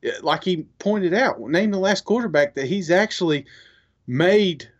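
A man with a fairly high voice chats casually as a sports podcast co-host. The recording is a little dull and lo-fi. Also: lively intonation, a little slow. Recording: slightly dull, lo-fi microphone sound.

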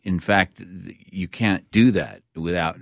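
A man hosting a radio interview, putting his questions in a conversational, musing and halting way. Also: sits low, fairly even intonation, vocal fry, no room sound, studio quality.

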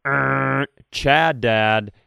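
A man's voice: mid-range voice, very slow, creaky, pushed and bright, clear, resonant tone, no room sound, clean studio sound. A man co-hosting a comedy podcast, riffing and telling stories in a casual, playful way. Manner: riffing, storytelling, playful bits. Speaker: podcast co-host.

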